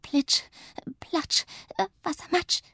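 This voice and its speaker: A woman voicing a small, whiny little witch with a high, plaintive and breathy voice. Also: takes it slowly, sharp tone, no echo, whispered, clean studio sound. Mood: whimpering. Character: small, whiny, easily hurt young female witch.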